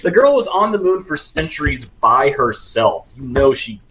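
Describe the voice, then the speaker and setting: A man's voice: mid-range voice, big pitch swings, moderate pace, fairly bright tone, a little hiss or hum, low-quality recording. A man on a casual fan panel, joking and acting out imagined quotes. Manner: jokey, acts out imagined quotes. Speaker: podcast panelist.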